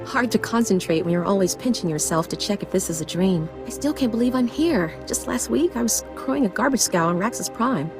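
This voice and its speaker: A woman plays an eager young Padawan in a video game. She is mid-pitched, chatty and wide-eyed, with an informal delivery. Loud music plays behind her. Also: lively intonation, fairly quick, hardly any pauses, close to an everyday voice. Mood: excited wonder.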